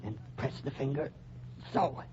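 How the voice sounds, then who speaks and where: An actor playing a timid, flustered husband suspected of murder, in a hesitant, stammering, fussy way, in an old-time radio mystery. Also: male voice, medium pitch, expressive, measured pace, natural voice. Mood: muttering, calculating. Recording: dull, noisy old radio recording.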